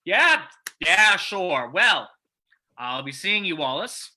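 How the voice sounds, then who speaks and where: A man's voice: high voice, sing-song, slow, bright, forceful tone, full character voice. A man playing a cruel, taunting crook in an old-time radio drama. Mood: taunting, menacing. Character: sneering male crook, a cruel bully in a radio play.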